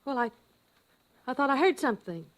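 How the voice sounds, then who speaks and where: A woman's voice: high-pitched, sing-song, a little fast. An actress playing an anxious, hesitant American housewife and mother in an old-time radio drama. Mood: nervous, evasive. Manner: hesitant, stammering restarts. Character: anxious suburban housewife and mother hiding her fear. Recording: noisy vintage radio recording.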